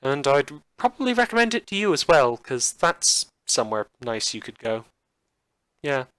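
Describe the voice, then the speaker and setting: A man's voice: mid-range voice, big pitch swings, normal speed, a bit bright, smooth, dry recording, poor audio. A man with a campy manner chatting informally and a little hesitantly to listeners as a podcast host. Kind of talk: informal outro chat to listeners.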